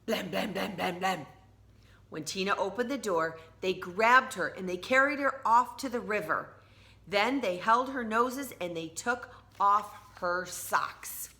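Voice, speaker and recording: Woman, teacher, bright forceful voice, animated storybook read-aloud, drawn-out emphasis, addresses children directly. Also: mid-range voice, vocal fry.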